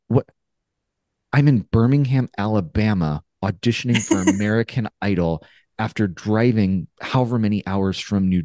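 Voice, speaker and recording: A man in his forties co-hosting a podcast over a video call, telling a story in a casual, animated way and acting out his own thoughts. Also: fairly low pitch, no echo. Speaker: podcast co-host. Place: home studio with brick wall. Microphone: headphones and studio mic on a video call.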